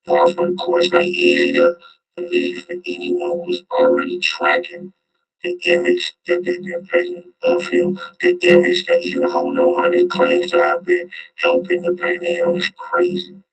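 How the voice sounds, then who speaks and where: A man's voice: extremely high pitch, wildly swooping pitch, a little slow, gravelly, faint music behind, poor audio. A man giving off-the-cuff reaction commentary in an informal, slangy way, repeating himself for emphasis. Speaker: host commentator.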